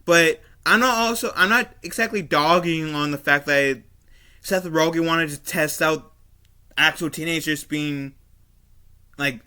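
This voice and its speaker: A man giving casual opinion commentary as a video host, halting and often repeating and rephrasing himself. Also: fairly high pitch, bright, forceful tone, clear, resonant tone. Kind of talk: casual opinion commentary, reading an article aloud.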